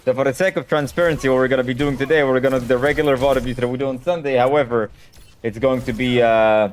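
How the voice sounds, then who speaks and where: A man's voice: mid-range voice, fairly even intonation, fast, a little hiss or hum. A man, a native Hebrew speaker, chatting casually as a game coach on a live stream and answering questions in a direct way.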